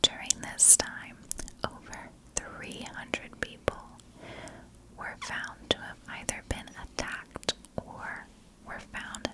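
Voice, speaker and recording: Slow whispering woman narrator. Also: low-quality recording. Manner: slow, hushed, deliberate storytelling. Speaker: ASMR storyteller and channel host. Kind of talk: whispered ASMR scripted narration of a historical legend.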